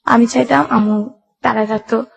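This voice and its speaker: A woman's voice: fairly high pitch, fairly even intonation, moderate pace, gravelly, husky. A young woman with a Bangladeshi Bengali accent tells her life story as a guest, in colloquial run-on sentences with reported dialogue. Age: young adult. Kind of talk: personal life-story narration.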